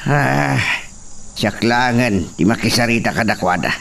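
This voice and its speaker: A man's voice: mid-range voice, measured pace, gravelly, a bit bright. An actor playing a cautious older peasant father in an Ilocano radio drama. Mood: concerned. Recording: distant mic, faint cricket noise.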